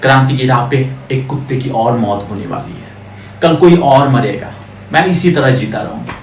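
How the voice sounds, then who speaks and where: A man's voice: mid-range voice, moderate pace, lightly acted. Guy acting bitter doomed prisoner doing a scornful movie monologue, roomy echo, noisy recording. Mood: exasperated defiance. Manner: scornful, declamatory, repeating words for emphasis. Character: bitter, defiant condemned man facing the gallows, scolding the crowd. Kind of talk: theatrical film monologue. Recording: echoey, distant, noisy audio.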